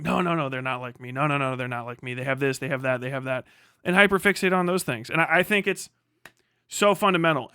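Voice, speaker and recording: A man with a fairly high, very bright and crisp voice talks casually on a stream. He is blunt and animated, swears freely and slips into imagined dialogue, and the sound is fairly dry. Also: dry recording. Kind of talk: casual political chat with heavy swearing.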